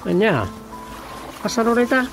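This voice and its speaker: A man's voice: very high-pitched, very animated, unhurried, vocal fry, a bit bright, exaggerated voice. An actor playing a chatty, teasing village man with an Ilocano accent in a radio comedy-drama. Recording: prominent music under the dialogue.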